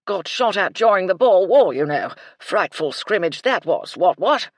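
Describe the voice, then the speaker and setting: A narrator voicing a hearty, addled old army colonel who talks loudly in bursts of exclamation. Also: male voice, sits high, big pitch swings, normal speed, dry recording, clean studio sound, voice pushed up, heavily acted. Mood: blustering. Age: older.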